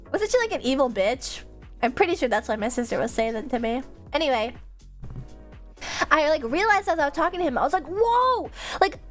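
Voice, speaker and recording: A woman with a high-pitched voice talks casually to her livestream viewers over background music. She sounds congested, as if her nose is stuffed up. Her delivery is rambling and animated, full of slang. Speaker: streamer, VTuber.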